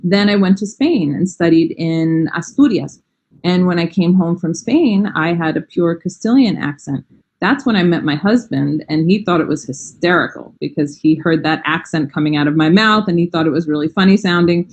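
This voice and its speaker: A woman's voice: lowish voice, moderate pace. An American woman in her forties, a language teacher, chatting enthusiastically in an interview over a video call, with frequent fillers. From United States. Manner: warm, enthusiastic, frequent "um" and "you know". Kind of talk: casual interview chat.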